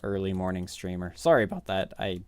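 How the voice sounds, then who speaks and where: A man's voice: low voice, lively intonation, fairly quick, clear, resonant tone, no room sound. A man in his thirties with a Midwestern American accent, a game streamer rambling casually and self-deprecatingly with his viewers. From Midwest United States. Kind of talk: casual chat with live viewers while gaming.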